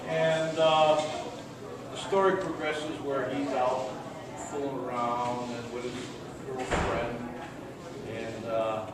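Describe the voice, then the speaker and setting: A man's voice: mid-range voice, flat delivery, slow pace, far from the mic, a loud crowd. Older man in a very echoey room. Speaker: host and narrator of an amateur radio play. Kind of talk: informal introduction to an audience. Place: large echoey room before a live audience. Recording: very echoey noisy hall, off mic.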